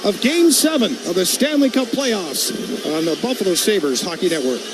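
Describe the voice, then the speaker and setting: A man's voice: high voice, big pitch swings, normal speed, music in the background, poor audio. A man calling live hockey play-by-play for radio over arena noise. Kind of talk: live radio sports commentary. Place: arena broadcast position. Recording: loud arena noise behind voice. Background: hockey arena crowd.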